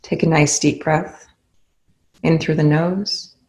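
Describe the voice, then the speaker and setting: A meditation instructor leading a guided session in a soothing, gentle, unhurried way with long pauses. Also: mid-range voice, fairly even intonation, echoey room, rough recording.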